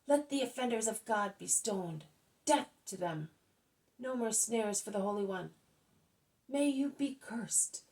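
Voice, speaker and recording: An angry crowd calls for punishment in a storybook reading, loud and forceful, as a woman reads their cries. The gravelly texture of the voice colors each shout. The pitch stays near middle. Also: expressive, measured pace, recorded from a distance, low-quality recording. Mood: vengeful. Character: angry crowd calling for stoning.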